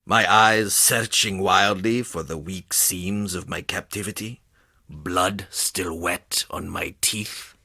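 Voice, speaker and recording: An actor playing a menacing, brooding villain in a video game, with a rough voice and a deliberate, ominous delivery. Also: male voice, sits low, fairly even intonation, pushed and bright, dry recording, studio quality, exaggerated voice. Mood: brooding menace. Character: menacing caged male villain, brooding and predatory.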